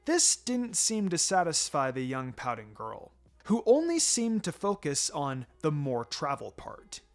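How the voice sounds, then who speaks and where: Expressive man narrating a story over music. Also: a little high, measured pace. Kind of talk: expressive fan fiction reading. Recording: music behind the voice. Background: background music.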